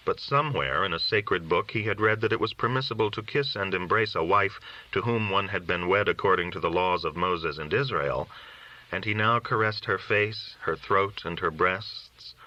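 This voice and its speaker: A man narrating a literary story in a measured, solemn reading style. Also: deep voice, muffled recording. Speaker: audiobook reader.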